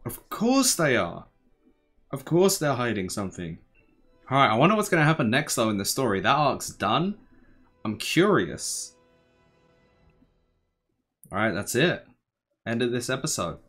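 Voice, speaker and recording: Young man, medium-pitched voice, casual anime reaction, slangy, laid-back, low energy, music behind. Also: sing-song, a little slow, long pauses, a bit bright. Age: young adult. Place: small bedroom, indoors.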